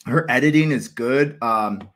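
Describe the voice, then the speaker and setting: A man's voice: mid-range voice, a little slow, a bit bright. An American man in his thirties hosting a casual livestream from a small room at home, rambling enthusiastically with lots of fillers and restarts. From United States. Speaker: cricket channel host.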